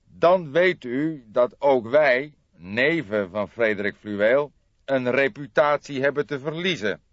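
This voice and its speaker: A man voicing a violent gangster in an audiobook, speaking with emphatic, deliberate menace and indignation. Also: mid-range voice, lively intonation, no echo, lo-fi sound, pitched above the performer's own voice, lightly acted. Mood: menacing. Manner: agitated, emphatic, deliberate, threatening. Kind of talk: dramatised audiobook character reading.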